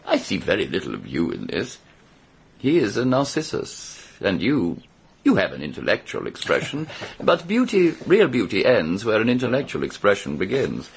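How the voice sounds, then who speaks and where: An actor playing a witty, cynical middle-aged aristocrat with an upper-class English accent, arch and theatrical in a radio drama. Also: male voice, on the low side, huge pitch swings, moderate pace, creaky, breathy edge, exaggerated voice. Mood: amused disdain. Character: witty, cynical English aristocrat, worldly and languid, recalling the past. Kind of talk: radio drama acting and first-person narration.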